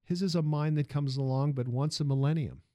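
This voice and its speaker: Man playing an imperious tycoon, raised emphatic pitch. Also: brisk, mellow, no echo, studio quality, lightly acted. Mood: cold, haughty. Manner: commanding, clipped, emphatic. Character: imperious male automobile tycoon, demanding and cold.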